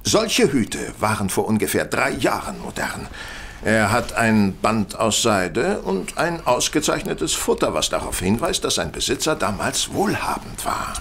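An actor playing a brilliant, self-assured Victorian detective in an audio drama, reasoning in a confident, didactic manner. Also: male voice, on the low side, big pitch swings, a little slow, gravelly, a bit bright, slight background noise, exaggerated voice. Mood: keen, explaining. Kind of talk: German audio drama acting.

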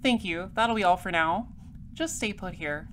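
Actress as female space detective, formal, polite, persistent, mid-pitched, audio drama, faint noise. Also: a bit bright, natural voice. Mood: composed, businesslike. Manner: formal, measured, polite interrogator. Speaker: lead detective and narrator.

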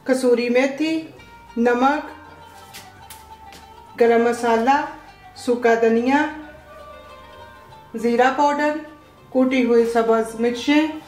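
A fairly high-voiced woman talks through a recipe in a home kitchen, naming each ingredient and step in a plain, unhurried way. Her voice rings in a roomy, echoey space, with music playing clearly underneath. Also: darkish tone. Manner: plain, unhurried instructions with short pauses between ingredients. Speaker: cooking show host. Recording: echoey room with background music.

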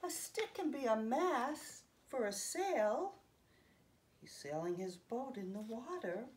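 Woman in her fifties, children's read-aloud, unhurried pace, lively sing-song intonation, simple explanatory asides, recorded in a living room. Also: fairly high pitch. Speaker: children's story time host. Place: home living room, indoors.

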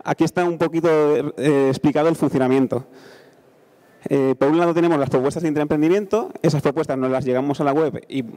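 A man in his thirties with a Spanish accent speaks from a conference stage into a handheld mic. His voice is fairly high yet soft-edged and mellow. He explains things informally, often checking in with listeners through short tag questions. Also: fast talker. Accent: Spain. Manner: conversational, frequent check-in tags. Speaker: conference speaker, engineer presenting a startup accelerator. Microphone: handheld microphone.

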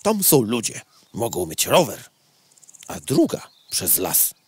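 A man's voice: lowish voice, huge pitch swings, slow, breathy edge, dry recording, full character voice. A man playing an elderly widowed beetle, a retired cavalry captain with formal, old-fashioned courtesy, in a comic audio drama. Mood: polite, wary. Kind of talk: audio comedy drama acting.

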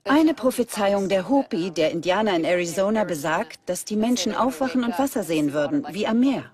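A woman's voice: mid-range voice, expressive, brisk, darkish tone, lightly acted. A woman playing a probing documentary interviewer, narrating and asking questions. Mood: probing, serious.

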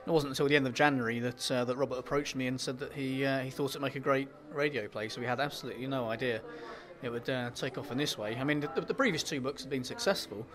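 A man with an English accent, an author answering interview questions in a halting way, full of ums and repeated words. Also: mid-range voice, crowd noise.

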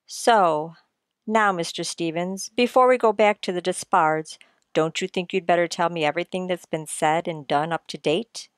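A woman's voice: fairly low pitch, smooth, no echo, close to an everyday voice. Theatrical, condescending sleuth played by a woman, close dry sound. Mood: smugly accusing. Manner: theatrical, condescending, deliberate explaining. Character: shrewd, theatrical writer-sleuth unmasking a murderer. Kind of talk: old-time radio mystery acting.

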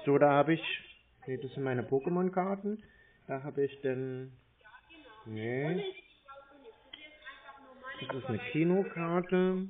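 A man from Germany stringing along a scam caller, slow and feigning confusion as he fumbles for details. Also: a little high, lively intonation. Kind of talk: playing dumb on a prank call. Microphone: phone. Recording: tinny phone line.

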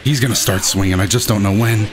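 A man giving casual, excitable commentary while he plays a video game, with playful dramatic flourishes. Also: lowish voice, fast talker, over music. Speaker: gaming video host and player. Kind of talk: casual live gameplay commentary.

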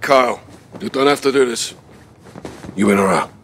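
A man's voice: mid-range voice, moderate pace, fairly bright tone, a little hiss or hum, close to an everyday voice. A man playing a middle-aged British special forces captain in a video game, terse, commanding and ruthless. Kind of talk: cinematic video game acting.

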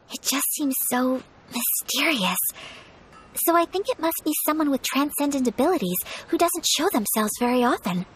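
A woman voicing a graceful young noblewoman in a video game, speaking formally, gently and in a measured way. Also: sits high. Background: game music.

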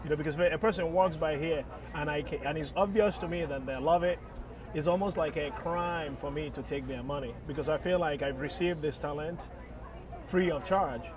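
A man's voice: a little high, normal speed. A middle-aged man with a Nigerian accent talks reflectively and earnestly about his work in an informal interview. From Eastern Nigeria. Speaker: sculptor, interviewee. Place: outdoor art fair. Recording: outdoor crowd noise, mic at a distance. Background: busy crowd.

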